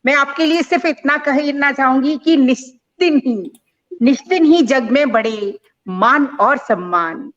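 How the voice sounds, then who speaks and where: A woman's voice: high voice, a little slow, a bit bright, muffled recording. A woman organizer thanking guests and reciting blessing verses, repeating each line. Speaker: program organizer.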